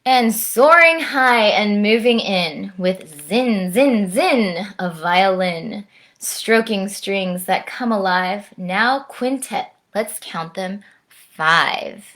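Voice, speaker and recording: A woman, around her thirties, speaking to young children in an expressive storytime style, slowly and directly, recorded at home on a device microphone. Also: medium pitch. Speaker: storytime presenter, orchestra musician. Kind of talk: children's read-aloud and friendly chat to camera. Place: at home, indoors.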